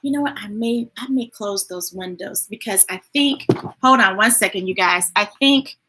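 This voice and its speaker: A woman's voice: mid-range voice, normal speed, bright, forceful tone, a little hiss or hum, rough recording. A woman hosting children's storytime, reading picture books aloud and chatting informally with viewers in an enthusiastic, folksy way. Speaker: children's storytime host and reader.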